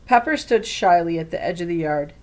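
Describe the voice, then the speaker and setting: A woman reading a children's storybook aloud in an expressive way. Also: lowish voice, a little fast. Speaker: read-aloud reader.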